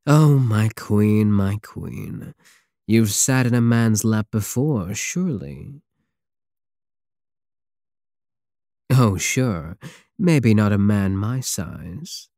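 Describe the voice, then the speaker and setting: Male actor as a cocky space pirate king, mellow, expressive pitch, teasing endearments, possessive, intimate ASMR roleplay. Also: vocal fry, dry recording, clean studio sound, full character voice. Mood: amused, seductive. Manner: slow, teasing, purring endearments, sardonic.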